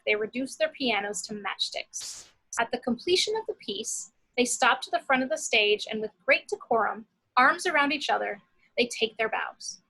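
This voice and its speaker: A woman's voice: a little high, bright, forceful tone, husky, poor audio. A woman reading scripted action descriptions as narrator in an amateur screenplay table read.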